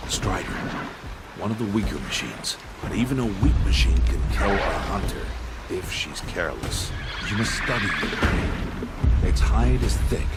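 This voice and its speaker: An actor playing a stern, gruff outcast hunter who gives firm, measured instruction in a video game. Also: male voice, low-pitched. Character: stern, gruff male outcast hunter, guardian teaching a young girl. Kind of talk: video game cutscene acting. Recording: loud music behind the voice.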